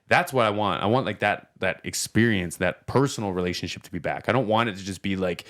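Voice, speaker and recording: A man's voice: low voice, a little fast, a bit bright. A man chatting casually as a podcast host, with informal slang and an emphatic, opinionated way of talking. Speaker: podcast host and reaction video creator.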